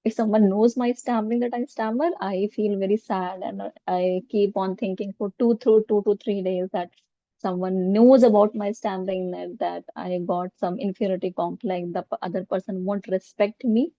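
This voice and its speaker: A woman's voice: medium pitch, steady tone, moderate pace, no echo. A woman with an Indian accent who stammers, answering interview questions with many fillers and repeated sounds. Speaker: guest, coaching centre founder. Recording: dull lo-fi audio.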